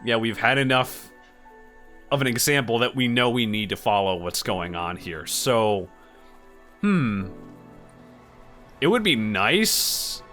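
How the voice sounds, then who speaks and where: A man hosting a reaction video with casual, unscripted commentary, halting and emotive, often repeating his phrases. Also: mid-range voice, expressive, sharp tone, soft background music.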